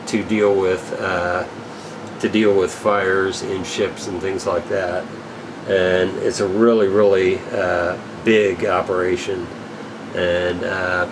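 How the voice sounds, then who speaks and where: A man giving a casual, unscripted product update as an equipment dealer, in a rambling, halting, matter-of-fact way. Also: deep voice, background noise.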